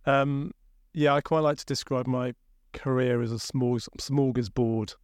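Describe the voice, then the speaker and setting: A man in his fifties with a broad Sheffield accent, a company chief executive answering interview questions in a relaxed, reflective way. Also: medium pitch, unhurried, smooth, dry recording, studio quality. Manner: relaxed and reflective, with many fillers. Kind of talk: conversational interview.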